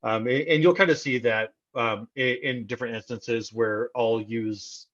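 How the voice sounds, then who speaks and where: An American man from Texas answers interview questions in a casual, conversational way. His voice sits at a medium pitch. He speaks haltingly, doubling back on words as he works out a thought. The recording is somewhat dull and lo-fi. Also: a bit bright. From Texas, United States. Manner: halting, repeats words as he thinks aloud. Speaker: interview guest, poet.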